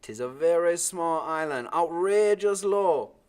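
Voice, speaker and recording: Man acting old island man, stubborn, gruff, protective, raised pitch, emphatic drawn-out delivery, storybook character. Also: lively intonation. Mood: firm, assured. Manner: emphatic dialect speech, loud and drawn out.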